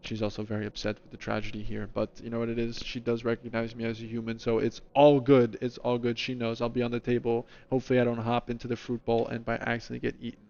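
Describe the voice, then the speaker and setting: A man's voice: medium pitch, monotone, quick pace, gravelly, few pauses, darkish tone. Guy streaming, chats w viewers, rambles a lot, kinda dull sound. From New Jersey, US. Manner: rambling, chatty, talks to chat by name. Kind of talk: casual stream chat in a lemon persona.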